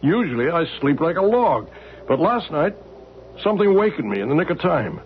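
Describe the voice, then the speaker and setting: A man's voice: a little high, sing-song, normal speed, a little hiss or hum. An actor playing a polite male professor who tells his story eagerly, in a 1970s radio drama on a thin, narrow-band old radio recording. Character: male professor friend, shaken but polite.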